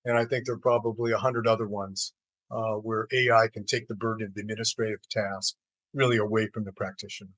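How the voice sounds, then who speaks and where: An older American man giving measured, explanatory answers as an interview guest, pausing as he forms his thoughts. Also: fairly low pitch, level tone, a bit bright, no room sound, poor audio. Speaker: interview guest, healthcare executive.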